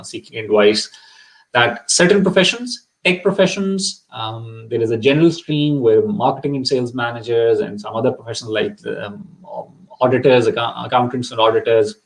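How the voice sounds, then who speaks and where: A man's voice: mid-range voice, rough recording. A middle-aged man whose first language is Urdu, giving earnest advice on immigration in a live session, with many fillers and repeated words. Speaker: immigration consultant.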